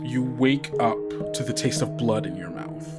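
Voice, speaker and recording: Male game master for actual-play horror podcast. Conversational, improvised narration, average pitch, often asks questions. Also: normal speed, loud music behind the voice.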